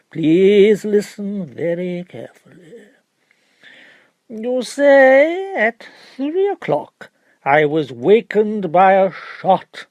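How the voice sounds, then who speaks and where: Pompous male prosecutor for an audiobook, acted by a man: raised pitch, laboriously drawn-out words, incredulous, theatrical. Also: a little mellow, no room sound, full character voice. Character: pompous, theatrical male Attorney General cross-examining a witness. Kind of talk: audiobook character voice.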